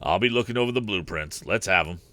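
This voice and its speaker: A man's voice: sits low, expressive, fast, fairly bright tone, no echo. Guy reading as a serious careful man, short snappy sentences, storybook inventor's partner. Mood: serious, cautioning.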